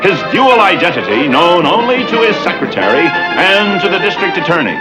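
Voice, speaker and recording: An actor playing a grandiose announcer, narrating the opening of a 1960s crime-fighting hero series with portentous emphasis. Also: male voice, high voice, sing-song, normal speed, poor audio. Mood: dramatic. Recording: vintage TV soundtrack, loud music. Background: loud music.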